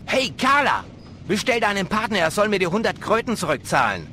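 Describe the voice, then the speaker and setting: An actor playing a loud, griping police colleague in an audio drama. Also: male voice, high-pitched, huge pitch swings, fairly quick, a little hiss or hum, exaggerated voice. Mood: complaining.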